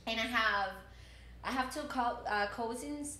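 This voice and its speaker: A young Cuban woman talks in English with a Spanish accent, in a voice a little higher than most women's. She is lively and often doubles words as she gets going. The room is echoey and has a faint noise in the background. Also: a little slow. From Cuba. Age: 20s. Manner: animated, repeats words when starting a thought. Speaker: journalism student guest. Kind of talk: casual chat.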